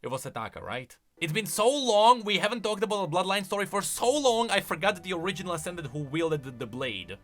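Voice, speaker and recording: A man's voice: high-pitched, sing-song, a little fast, hardly any pauses, a bit bright. A man hosting a video, giving off-the-cuff, emphatic commentary that explains game lore.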